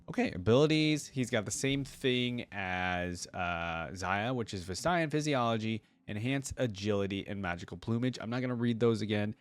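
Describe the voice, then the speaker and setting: A man's voice: medium pitch, dry recording. A man hosting a reaction video, reading lore aloud in a casual way with chatty, musing asides. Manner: chatty, musing asides between read passages.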